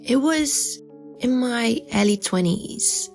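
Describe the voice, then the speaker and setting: Actress as wistful female lead, bedtime audio drama. Unhurried, fry-edged, hesitant, soft music underneath. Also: a little high, expressive. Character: wistful woman recalling a mysterious seaside memory. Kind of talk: intimate audio drama acting.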